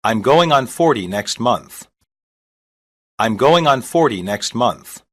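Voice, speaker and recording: A reader saying short English practice sentences slowly and evenly, each one twice, for a language lesson. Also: mid-range voice, lively intonation. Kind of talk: slow repeated phrase reading.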